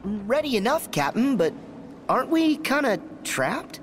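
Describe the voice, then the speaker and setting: A woman's voice: a little high, a little slow, slight background noise. An eager teenage boy hero. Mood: upbeat. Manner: excitable, upbeat.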